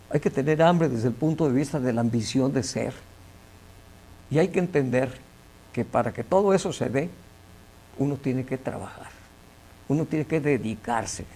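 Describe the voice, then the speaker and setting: An older man with a Mexican Spanish accent, an engineer being interviewed, speaking in a measured, emphatic way and repeating words as he searches for them. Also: medium pitch, gravelly, darkish tone, rough recording. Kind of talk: conversational interview.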